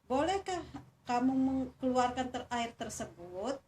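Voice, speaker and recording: A woman's voice: high-pitched, big pitch swings, unhurried, a little mellow. A woman voicing a sly, scheming turtle in a children's fable, coaxing and exclamatory with false cheer. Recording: distant mic, faint noise.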